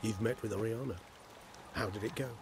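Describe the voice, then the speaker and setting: Low-voiced, erudite British vampire. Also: male voice, fairly even intonation, a little fast, gravelly, background noise. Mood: concerned, polite. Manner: erudite, courteous, wordy. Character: courteous, scholarly male vampire, loyal and talkative. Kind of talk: video game acting.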